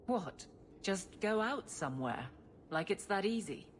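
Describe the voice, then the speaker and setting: An actress playing a proud guard captain who is blunt, flustered and halting, awkward about romance, in a video game cutscene. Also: female voice, medium pitch, expressive, a little hiss or hum, lightly acted.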